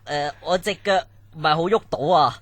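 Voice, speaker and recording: A man's voice: on the high side, moderate pace, a bit bright, no room sound, close to an everyday voice. A man acting a reluctant young student in a Cantonese radio drama, whiny and complaining.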